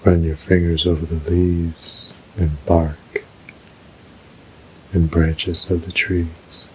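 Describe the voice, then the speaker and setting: A man narrating a scripted guided meditation in a soothing, measured, unhurried way. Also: low voice, little pitch movement, slight background noise, rough recording.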